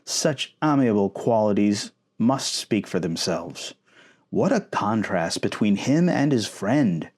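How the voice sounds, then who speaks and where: A man narrates a classic novel in an expressive storybook style. His voice sits on the low side and moves with highly varied intonation. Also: takes it slowly.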